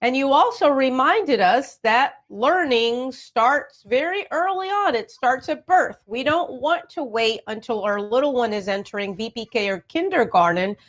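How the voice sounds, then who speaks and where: Higher-voiced woman hosting, encouraging manner. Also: expressive, unhurried, few pauses, fairly bright tone. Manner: encouraging, affirming, addresses listeners directly. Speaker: radio show host. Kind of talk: hosted educational talk for families.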